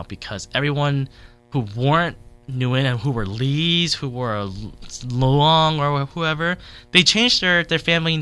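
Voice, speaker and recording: A man's voice: mid-range voice, bright, forceful tone, clear, resonant tone. A man in his thirties, born in Vietnam and raised in Southern California, chatting as an interview guest in a rambling, easygoing way with lots of fillers. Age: 30s. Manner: rambling, chatty, laughs easily, many fillers. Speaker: interview guest, technology consultant. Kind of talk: casual interview.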